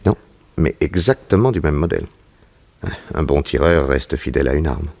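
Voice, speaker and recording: A man playing a calm, self-assured private detective in a 1960s French radio drama, composed and reassuring. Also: rumbling low, moderate pace, full character voice. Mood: explaining. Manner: composed, reassuring, probing with short questions.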